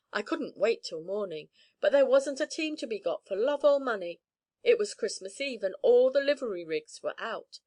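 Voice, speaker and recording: Storybook hero: a hearty, plain-spoken young man home from the north, read by a woman. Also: medium pitch. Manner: sober, cheerful storytelling.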